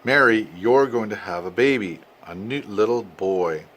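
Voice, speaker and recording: An older man voicing a gentle, glad angel messenger from a storybook, reassuring and proclaiming. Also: lowish voice, unhurried. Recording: noisy amateur home recording.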